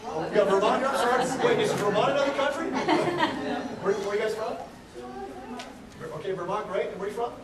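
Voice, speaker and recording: A man's voice: sits high, moderate pace. A man from Texas giving a casual, joking talk to a crowd as an aquarium chief, often asking the audience questions. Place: large indoor room with an audience. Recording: echoey hall, mic from far off.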